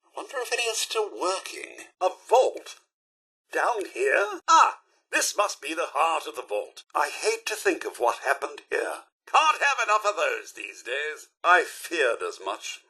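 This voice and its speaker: An actor playing a fussy, loyal, polite robot butler in a video game, with a British accent and a prim, formal, theatrical manner. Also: male voice, a little high, wildly swooping pitch, a little slow, pushed and bright, slightly rough, heavily acted. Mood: musing. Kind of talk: video game character voice lines.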